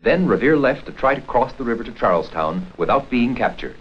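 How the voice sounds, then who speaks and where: A man reading scripted documentary narration for an educational history film in a formal, measured storytelling manner. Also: mid-range voice, lively intonation, slight background noise. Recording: dull 16mm film soundtrack with music.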